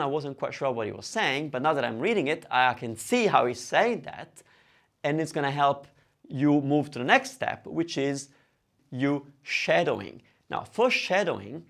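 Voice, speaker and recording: Guy teacher explaining stuff step by step, bit high pitched, gravelly fry in the voice. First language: Italian. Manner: explains step by step with emphatic stresses and check-ins. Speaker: language teacher and host. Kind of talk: instructional talk to camera.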